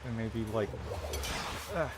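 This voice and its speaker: A man's voice: fairly low pitch, slow, loud music behind the voice. A man giving casual, unscripted gameplay commentary as a streamer, puzzled and thinking aloud in fragments. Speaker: game streamer. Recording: clear game noise behind voice. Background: video game sound effects and ambience.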